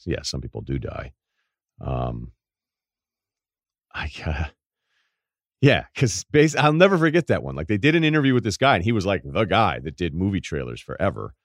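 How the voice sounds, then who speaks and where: A man with a deep voice hosting a podcast, telling rambling stories in casual chat and laughing at his own anecdotes. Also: huge pitch swings, normal speed, pauses often, dry recording, clean studio sound. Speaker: podcast host.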